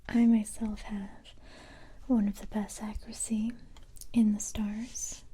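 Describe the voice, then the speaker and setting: A woman playing a calm, confident special-forces police recruiter in a soft-spoken ASMR roleplay, whispering breathily in a gentle, unhurried, matter-of-fact way. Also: mid-range voice, breathy edge. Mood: calm, businesslike.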